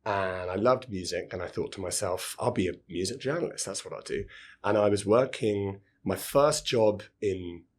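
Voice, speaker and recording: A man in his thirties, a podcast guest, chatting in a relaxed, rambling way with a hoarse, scratchy voice. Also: on the low side, moderate pace. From United Kingdom. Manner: chatty, rambling, repeats words. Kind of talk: casual interview talk.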